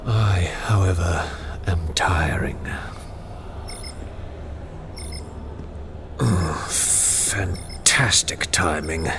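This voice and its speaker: An actor playing a shrewd, weary royal chamberlain with a dry, courtly manner in an audio drama. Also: male voice, low voice, slow, noisy background. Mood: dry, teasing.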